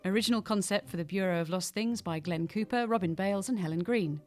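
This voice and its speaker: A woman's voice: medium pitch, quick pace, a little mellow, faint music behind. A woman with a British accent reading scripted credits as the announcer of an audio production. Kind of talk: scripted narration.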